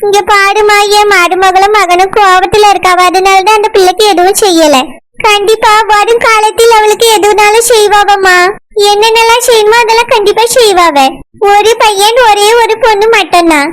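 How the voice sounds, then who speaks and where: Woman doing a gentle coaxing old granny. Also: extremely high pitch, fairly even intonation, voice pushed down. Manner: slow, coaxing, pleading. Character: gentle soothing elderly grandmother. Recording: loud background noise.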